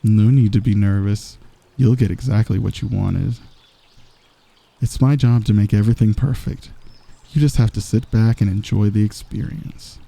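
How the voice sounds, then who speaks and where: The cocky villain of a late-night romance audio roleplay, cornering an enemy to tease softly in a very dark, creaky voice right by the ear a man acting it out. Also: on the low side, normal speed. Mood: flirtatious, coaxing. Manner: soft, intimate, teasing, cocky. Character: smug, teasing, flirtatious male villain wooing an enemy. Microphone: close microphone.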